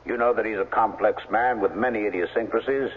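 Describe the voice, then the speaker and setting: An actor playing a cold, domineering middle-aged crime boss in a 1970s radio drama, smooth, condescending and commanding. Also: male voice, lowish voice, a little fast. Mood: smooth persuasion. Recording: muffled vintage 1970s radio broadcast.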